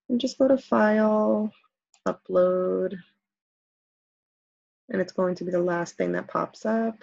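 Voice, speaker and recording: A woman's voice: medium pitch, gravelly, darkish tone, breathy edge, no room sound, highs cut off. A woman teacher talking casually through a screen-recorded tutorial, step by step, with many okays.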